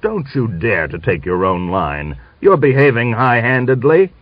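A man's voice: mid-range voice, lively intonation, a little slow, no echo, voice pushed up. A man voicing a blustering but genial Cossack staff officer in an audiobook, exclaiming and cajoling. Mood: indignant. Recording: dull lo-fi audiobook recording.

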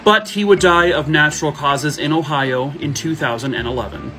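A man in his thirties tells true crime stories into his phone, breaking off for sarcastic quips. His voice sits a little high for a man. Faint music plays softly behind him. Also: normal speed. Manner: sarcastic jokey asides. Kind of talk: casual true crime storytelling. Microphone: phone at arm's length.

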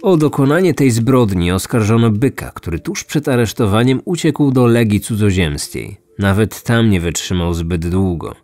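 A man's voice: lowish voice, expressive, moderate pace, few pauses, darkish tone. Male true-crime narrator over music. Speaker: podcast host and narrator. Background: background music.

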